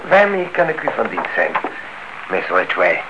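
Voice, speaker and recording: A man's voice: sits low, wildly swooping pitch, a little slow, exaggerated voice. An actor playing a polite, guarded male neighbour in a radio play, formal and controlled. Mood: guarded, courteous. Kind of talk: Dutch radio play acting. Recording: dull, noisy old radio-play recording.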